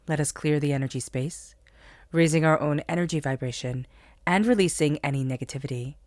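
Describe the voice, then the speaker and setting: Woman tarot reader, low voice, a bit stuffy from a cold, close dry recording. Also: no room sound. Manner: soothing guided meditation, then earnest spiritual pep talk. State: has a cold. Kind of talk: solo tarot reading to camera.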